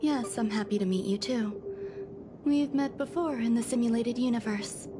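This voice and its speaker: An actress voicing a serene, soft-spoken woman scientist in a video game, gentle, formal and clinically detached in her measured delivery. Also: a little high, background noise, natural voice. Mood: serene, wistful. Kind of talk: video game character dialogue.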